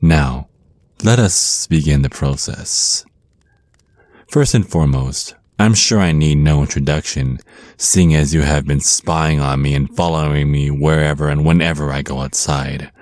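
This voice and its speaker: Very deep, slow, smug male vampire naga voiced by a man. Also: fairly even intonation, darkish tone, dry recording. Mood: teasing, threatening. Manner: smug, teasing, slow deliberate menace with drawn-out words. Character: flirty, menacing male vampire naga crime lord, smug and teasing. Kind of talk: ASMR roleplay acting.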